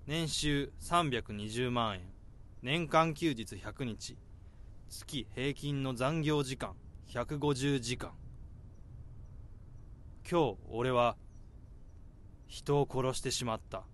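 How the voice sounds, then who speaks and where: A man in his thirties narrating a radio drama in the first person, in a slow, subdued, deadpan way. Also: mid-range voice. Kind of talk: radio drama inner monologue.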